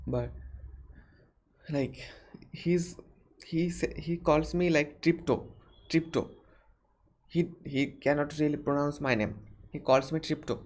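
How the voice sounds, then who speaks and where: A young man with an Indian accent chats loosely through a live Q&A stream. His voice sits a touch high, and he strings his thoughts together with plenty of fillers and false starts. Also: slow pace, pauses often, a little mellow. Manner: rambling, many fillers and restarts. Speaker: livestream host answering viewer questions. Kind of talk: casual live Q&A chat.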